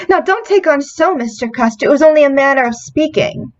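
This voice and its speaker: An actress playing a gentle, frightened, disbelieving young woman in an old time radio drama. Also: high-pitched, lively intonation, moderate pace, highs cut off. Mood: soothing, puzzled.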